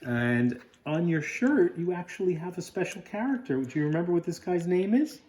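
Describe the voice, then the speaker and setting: A woman's voice: fairly low pitch, animated, moderate pace, mellow. A woman reading a storybook aloud as a parent, chatting with a small child and encouraging them with questions. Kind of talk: read-aloud storytelling with casual chat to a child.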